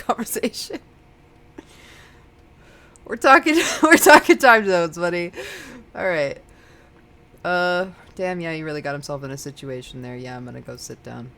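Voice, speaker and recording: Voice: mid-range voice, fairly bright tone. An American streamer of unclear sex, giggly and easily sidetracked, chatting casually and reading game text aloud. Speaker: livestream host playing a game. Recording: clearly noisy background.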